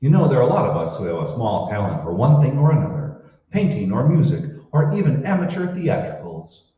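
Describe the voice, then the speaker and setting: A man playing an earnest 1940s radio announcer, delivering formal, measured sponsor messages. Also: mid-range voice, sing-song, a little hiss or hum, lightly acted. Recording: echoey hall, distant mic, muffled.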